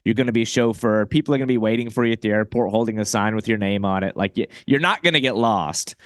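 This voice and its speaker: A man's voice: on the low side, quick pace, a bit bright, no room sound. Man hosting a podcast, close dry sound. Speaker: podcast host. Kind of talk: conversational interview.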